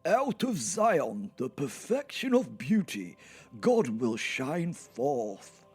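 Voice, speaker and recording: A man's voice: medium pitch, big pitch swings, slow pace, darkish tone, husky, faint music behind, pitched above the performer's own voice, heavily acted. A man voicing a grandiose, solemn reciter of scripture in an audio drama, declaiming pompously. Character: grandiose scripture-reciting voice possessing a sardonic werewolf.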